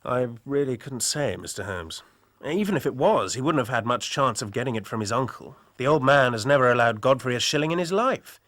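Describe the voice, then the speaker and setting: An actor playing a worried, loyal young English university rugby captain in an audio drama, with hearty, polite public-school speech. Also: male voice, mid-range voice, animated, brisk, fairly bright tone, dry recording, natural voice. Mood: candid.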